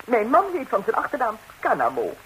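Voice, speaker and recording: Flustered, animated nosy busybody lady. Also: fairly high pitch, fairly quick, low-quality recording. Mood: brazen. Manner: flustered, stammering, repeating herself. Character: nervous, nosy, talkative married lady, indiscreet busybody visitor. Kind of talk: 1960s radio comedy acting in Dutch. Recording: hissy 1960s radio recording.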